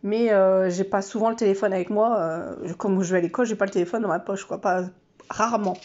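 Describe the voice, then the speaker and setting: A woman's voice: mid-range voice, fairly even intonation, brisk, a little mellow, highs cut off. A woman vlogger with a stuffy, nasal voice from a cold, chatting casually and self-mockingly through her monthly challenges with lots of fillers.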